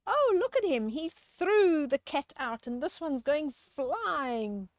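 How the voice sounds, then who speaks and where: A woman reads a bedtime picture book to children in a fairly high voice. She goes very slowly, leaning on words expressively and chatting to the listener, and the sound is close and dry. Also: dry recording. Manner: expressive, drawn-out emphasis, chatty questions to the listener. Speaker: children's storyteller.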